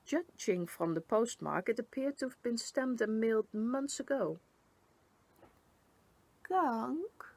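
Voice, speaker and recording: A woman narrating a first-person storybook tale in an expressive read-aloud manner. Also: on the high side, moderate pace, hardly any pauses. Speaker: story reader.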